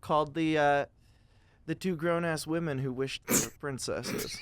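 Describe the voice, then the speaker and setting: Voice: mid-range voice, measured pace, very noisy. A podcast host chatting casually in a playful, joking manner. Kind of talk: casual chat.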